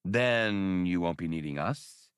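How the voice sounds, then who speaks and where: A man's voice: deep voice, animated, takes it slowly, clear, resonant tone, no room sound, studio quality. A man voicing a brash, skeptical smuggler hero in an audiobook, with a blunt, challenging way of talking.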